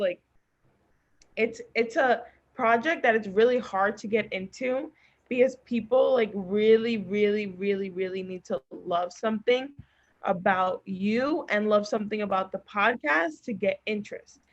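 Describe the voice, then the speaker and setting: A woman speaks as a podcast guest in a casual, earnest and supportive way. Her mid-pitched voice comes through a slightly dull, lo-fi remote recording. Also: normal speed. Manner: encouraging, earnest. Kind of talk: casual chat. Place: remote group call.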